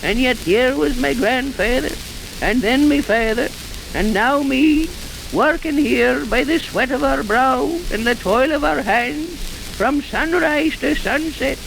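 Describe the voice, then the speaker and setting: Garrulous old village blacksmith, rural English accent, unhurried gravelly grumble, crackly vintage radio drama. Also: male voice, extremely high pitch, a bit bright, full character voice. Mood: aggrieved, grumbling. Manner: folksy, grumbling, repeats himself for emphasis. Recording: noisy, hissy, crackling old radio recording.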